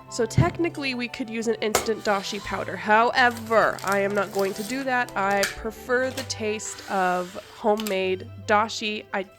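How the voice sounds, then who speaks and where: A woman hosting a cooking video in a casual, chatty way. She sounds congested from allergies. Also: mid-range voice. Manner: chatty, relaxed, talks while cooking. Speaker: cooking channel host. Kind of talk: casual cooking vlog narration.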